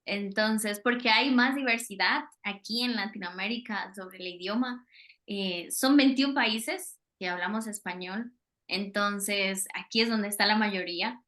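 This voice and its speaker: A woman's voice: medium pitch, measured pace, bright, forceful tone. A Colombian woman, a Spanish teacher, talking casually in an interview over a video call, hesitating with many fillers.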